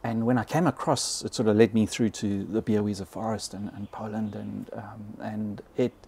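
A man's voice: on the low side, steady tone, normal speed, creaky, warm tone, breathy edge. A South African man in his fifties talking in a podcast interview, hesitant and often repeating words. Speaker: bison ranger, podcast guest. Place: small log cabin. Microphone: close podcast mic on boom arm.

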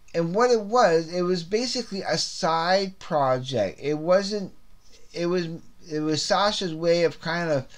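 A man hosting his own CD review series, chatting casually and rambling, repeating words and restarting sentences, with a slightly scratchy throat. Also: a little high. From Canada. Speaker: host of a CD review video series. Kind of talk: casual unscripted music album review.

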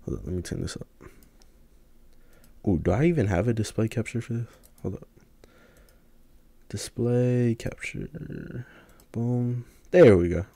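A man's voice: medium pitch, lively intonation, unhurried, gravelly, long pauses, warm tone. A young man casually commenting on a game as he plays it, his voice worn from having recently lost it. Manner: casual, rambling, talks to chat while playing. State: recovering from lost voice. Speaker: gaming streamer.

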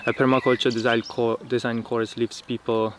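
A young man, a permaculture teacher, speaking in a relaxed, thoughtful way with frequent fillers, recorded outdoors in a dry rural field. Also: mid-range voice, moderate pace. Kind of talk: casual interview talk. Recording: outdoor countryside noise. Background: birds and insects in the countryside.

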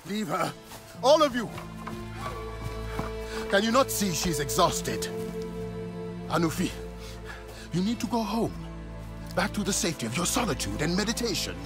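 An actor voicing a stern, protective alien advisor in a video game cutscene, commanding and emphatic. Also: male voice, high voice, big pitch swings, normal speed, long pauses, music in the background. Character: stern, protective, self-justifying male Na'vi advisor.

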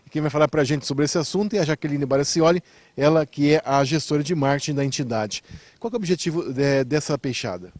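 A middle-aged man reporting outdoors, asking brisk interview questions into a handheld mic. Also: on the high side, darkish tone, dry recording. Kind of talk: field interview. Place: outdoors by a brick wall.

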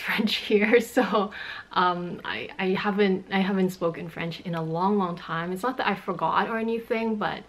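A woman's voice: fairly low pitch, poor audio. A woman in her thirties from Canada whose first language is Cantonese, chatting through a fashion Q&A vlog at home and laughing often. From Montreal, Canada. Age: 30s. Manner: chatty, explains with hand gestures, laughs often. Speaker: fashion YouTuber. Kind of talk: Q&A vlog chat. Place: bedroom in a home, indoors.

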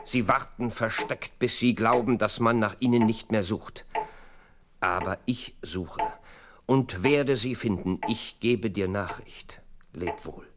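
An actor playing a brilliant, impatient detective in a German radio play, with a deliberate, dramatic delivery and hushed phrase endings. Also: male voice, on the low side, noisy background. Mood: impatient, resolute. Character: brilliant, theatrical male detective, sure and impatient.

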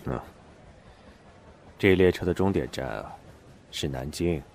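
An actor playing an eerie, ominous coffin seller in a slow, sinister manner in an audio drama. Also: male voice, low-pitched, vocal fry, a little mellow, a little hiss or hum. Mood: ominous calm.

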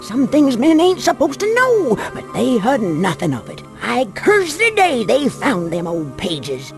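A high-voiced old Southern backwoods granny, pleading and folksy, swinging wildly in pitch. She is a wheedling, sinister captive in a horror video game, with music faintly underneath. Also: huge pitch swings, measured pace, full character voice. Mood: pleading, bitter. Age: older.

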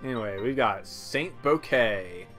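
A man's voice: mid-range voice, lively intonation, measured pace, fairly bright tone. A man giving casual, rambling gameplay commentary with hesitant asides, in a laid-back way. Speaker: video game player and commentator. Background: video game music.

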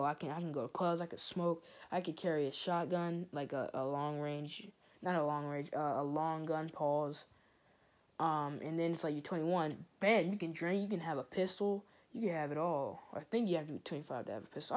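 A teenage boy vlogging in a small bedroom into a phone camera held close. He talks in a loose, rambling way, full of "like", and his unsteady voice tends to crack. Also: fairly high pitch. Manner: rambling, full of "like", drifts off topic. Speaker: gaming channel vlogger. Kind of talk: rambling casual vlog.

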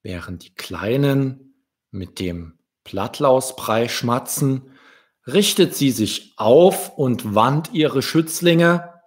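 A man in his thirties reading a story aloud to young children, gently addressing the listener directly. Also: mid-range voice, lively intonation, extremely slow. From Germany. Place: small room with wood-panelled wall. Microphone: desk microphone with pop filter, close.

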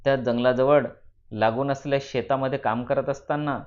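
A man narrating a moral story for students in deliberate phrases with dramatic emphasis. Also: mid-range voice, steady tone, darkish tone, lo-fi sound.

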